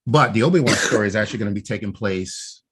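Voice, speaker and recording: A man with a low voice talks casually on a podcast. His tone is a little husky, and he lays out fan theories step by step. He often adds a quick "right?" to bring his co-host along. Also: a bit bright.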